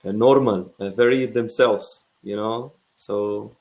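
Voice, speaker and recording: A man's voice: lowish voice, fairly even intonation, takes it slowly, a little mellow. A young man from Syria, speaking English, who chats hesitantly on a video call with many fillers and restarts. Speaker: English learner. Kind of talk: casual video chat.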